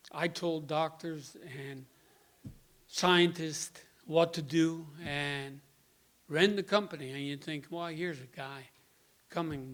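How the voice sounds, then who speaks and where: A man in his sixties speaks with a Dutch accent, his voice sitting a little higher than most men's. He tells his story in a plain, halting way, stopping often to search for words. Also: a bit bright. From Netherlands. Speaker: guest speaker. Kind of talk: personal testimony.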